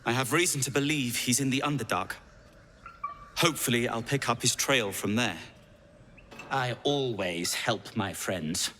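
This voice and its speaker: A man voicing a proud, sardonic, weary deep gnome in a video game, with dry, formal phrasing. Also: a little slow, bright, forceful tone, a little hiss or hum. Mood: somber hope.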